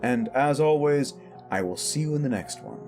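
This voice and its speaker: A man's voice: mid-range voice, lively intonation, normal speed, darkish tone, soft background music. A man hosting his own audio channel, chatting in a rambling, enthusiastic, casual outro. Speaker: audio creator and host.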